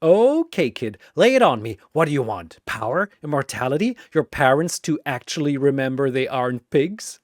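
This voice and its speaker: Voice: medium pitch, big pitch swings, moderate pace, few pauses, no room sound, clean studio sound, natural voice. A narrator voicing a manic, gleeful triangle demon with a mocking, theatrical delivery in an audio story. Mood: gleeful, excited.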